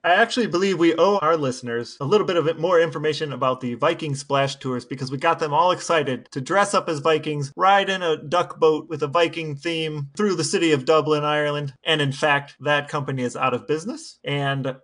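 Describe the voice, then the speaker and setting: A man with a slightly high voice co-hosts a podcast. He talks casually and drily, delivering humor in a matter-of-fact way. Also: brisk, hardly any pauses, fairly bright tone. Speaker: podcast co-host. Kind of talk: casual banter, partly reading texts aloud.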